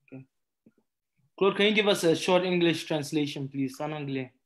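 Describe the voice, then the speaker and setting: A man's voice: on the high side, moderate pace. A man moderates an online panel in a courteous, conversational way, inviting speakers and posing questions. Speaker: webinar moderator.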